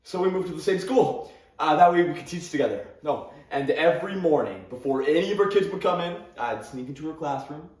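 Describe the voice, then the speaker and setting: A man's voice: on the high side, expressive, a little fast, a little mellow, natural voice. A young man playing an awkward, grieving male English teacher who stammers, repeats his words and gives nervous little laughs, in a dramatic monologue. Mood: tender. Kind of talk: competitive dramatic interpretation monologue. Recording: roomy sound from a distant camera.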